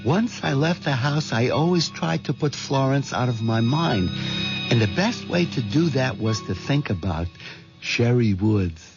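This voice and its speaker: An actor playing a meek, hesitant, put-upon middle-aged husband in a 1970s radio drama. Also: male voice, mid-range voice, lively intonation, a little slow, creaky, over music. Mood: wistful, confiding. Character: meek, hen-pecked middle-aged husband, wistful dreamer. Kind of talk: 1970s radio drama acting with confiding narration. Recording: dull vintage radio recording.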